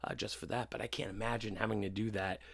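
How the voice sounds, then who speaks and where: Low voiced guy with lots of fry, podcast cohost, rambles along kinda hesitant but agreeable. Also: flat delivery, fast talker, fairly bright tone. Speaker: podcast co-host. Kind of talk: casual chat.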